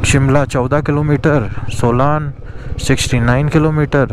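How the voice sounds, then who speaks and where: A man's voice: mid-range voice, fairly even intonation, unhurried. A man vlogging casually while riding a motorcycle on a mountain road, chatting to viewers and mixing in English phrases, recorded on a helmet or action-camera mic. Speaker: moto vlogger. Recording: noisy outdoor road recording with traffic. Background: traffic and engine noise.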